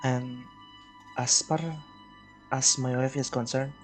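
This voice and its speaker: A man's voice: mid-range voice, monotone, slow pace, soft background music, lightly acted. A man playing an agreeable, confident husband and father in a readers theater drama, plain and polite. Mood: assured.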